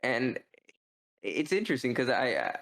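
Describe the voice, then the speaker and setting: A man co-hosting a podcast, talking through a book review in a casual, rambling, halting way as he thinks out loud. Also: medium pitch, wildly swooping pitch. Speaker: podcast co-host.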